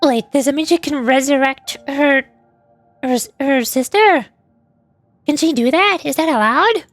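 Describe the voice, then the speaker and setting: Female VTuber, high voice, chatty and opinionated casual gaming commentary, rambling delivery, faint music. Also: a little slow, no echo. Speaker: VTuber streamer.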